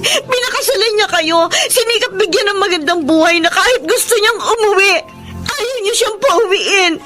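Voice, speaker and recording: A woman's voice: very high voice, expressive, normal speed, sharp tone, faint music behind, exaggerated voice. A woman playing a suffering eighteen-year-old daughter in a Filipino radio drama, plaintive and reproachful. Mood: pleading reproach. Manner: emotional, plaintive delivery.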